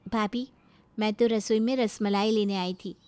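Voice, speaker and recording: A woman's voice: mid-range voice, fairly even intonation, moderate pace, dark tone, clear, resonant tone, no room sound, close to an everyday voice. A woman voicing a cheerful, candid young sister-in-law who teases affectionately in a moral story. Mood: teasing, affectionate.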